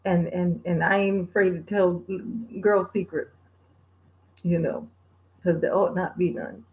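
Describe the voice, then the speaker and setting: A woman in her forties hosting a livestream, chatting casually with viewers, laid-back and quick to laugh. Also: fairly low pitch, steady tone, highs cut off. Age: 40s. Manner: laid-back, laughs often, sings bits. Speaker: livestream host. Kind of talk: casual chat reading viewer comments.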